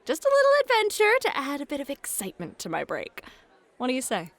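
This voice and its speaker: A woman's voice: high voice, wildly swooping pitch, fast, full character voice. A woman playing a friendly, flirty club hostess and game master in an ASMR roleplay, chatty and playful. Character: friendly, flirty club hostess who runs tabletop games. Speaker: host and dungeon master.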